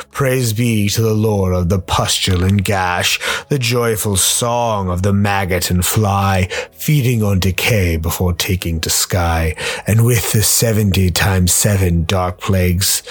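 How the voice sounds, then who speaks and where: A man's voice: low voice, no room sound. A man voicing a bloated old plague creature, preaching corruption in unctuous rhyme for a radio play.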